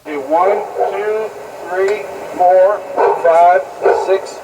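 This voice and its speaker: Man counting slowly, far off through noise. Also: high voice. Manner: slow, even counting aloud. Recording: distant voice under loud noise.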